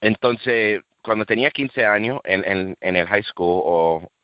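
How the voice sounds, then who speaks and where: Low-voiced man telling his testimony. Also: dry recording, dull audio. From United States. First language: English. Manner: halting, with many restarts and fillers. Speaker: guest giving a testimony. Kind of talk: personal testimony on a podcast call. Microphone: call audio.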